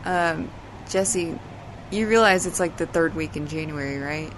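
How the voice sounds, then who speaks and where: A woman's voice: low voice, measured pace, slight background noise, rough recording. A woman playing an exasperated, dry-witted character in casual audio drama banter, sardonic and matter-of-fact. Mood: wry.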